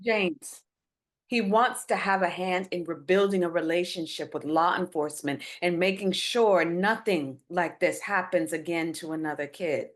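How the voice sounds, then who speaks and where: A woman's voice: lowish voice, normal speed, close to an everyday voice. A woman playing an earnest, hopeful bereaved mother in a dramatic script reading, pleading and placating yet firm as she argues for forgiveness. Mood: persuading.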